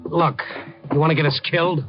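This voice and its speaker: A man's voice: fairly high pitch, little pitch movement, brisk, soft background music, lightly acted. An actor playing a streetwise, wisecracking newsman hero in a 1950s radio noir drama, with a dry, insistent, hard-boiled delivery. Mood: wary, alarmed. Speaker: lead character and narrator. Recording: dull, band-limited 1950s radio recording.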